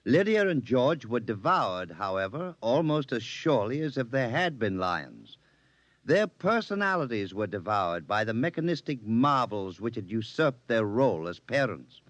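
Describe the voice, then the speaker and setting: An actor playing a composed, authoritative doctor in a 1950s radio drama. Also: male voice, mid-range voice, dry recording, lightly acted. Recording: dry, dull old radio recording.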